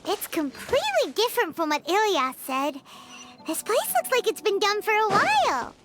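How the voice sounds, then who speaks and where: A woman voicing a small, excitable, childlike fairy companion with a squeaky voice in a video game. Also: normal speed, over music, exaggerated voice. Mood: curious.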